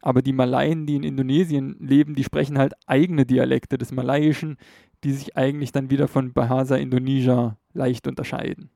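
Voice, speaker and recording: A man's voice: medium pitch, flat delivery, brisk, vocal fry, warm tone, no echo, clean studio sound. A man giving a casual educational talk, explaining loosely with fillers and self-corrections.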